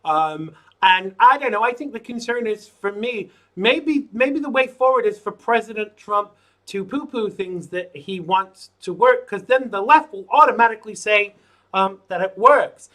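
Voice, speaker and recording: A man's voice: lively intonation, moderate pace, a bit bright. A man hosting a live call-in show, chatting through news items with jokey asides. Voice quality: says his voice is low. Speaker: show host.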